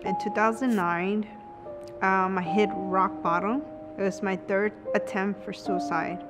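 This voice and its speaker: A woman speaks frankly in a documentary interview, her voice in the middle range. Music plays clearly behind her. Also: mid-range voice, steady tone, unhurried. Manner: direct, frank storytelling.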